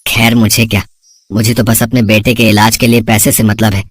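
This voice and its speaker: A man voicing an earnest, worried father who runs a street food cart, gentle and reflective, in a moral story. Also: fairly low pitch, flat delivery, fairly quick, dry recording, natural voice. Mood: musing. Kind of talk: Hindi moral story voice acting.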